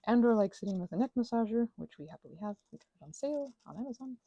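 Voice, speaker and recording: A woman host chatting casually in a meandering, self-deprecating ASMR craft ramble, with a creaky voice, often trailing off mid-thought. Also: on the high side, expressive, racing, mellow, no echo, dull audio.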